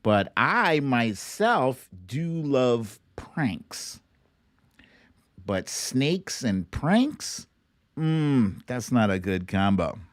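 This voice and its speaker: A man's voice: mid-range voice, sing-song, drawn-out words, no echo. A man hosting a casual livestream, chatting and reacting to clips in a playful, theatrical way. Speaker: host of a live online show.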